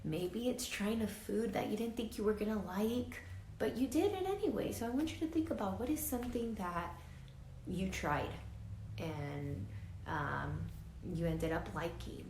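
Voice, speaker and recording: A woman reading a picture book aloud to children as a teacher, dramatic and expressive, pausing to ask her listeners questions. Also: medium pitch. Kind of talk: animated children's read-aloud with asides to listeners. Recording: roomy echo with faint background noise.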